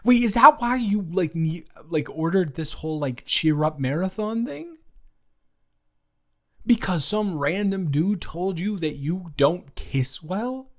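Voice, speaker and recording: A man playing a teasing, supportive male roommate in an improvised romantic audio roleplay, talking casually and stammering, with animated outbursts. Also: high voice, slow pace, full character voice. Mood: indignant, reassuring. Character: male roommate and friend, teasing, supportive, indignant on her behalf.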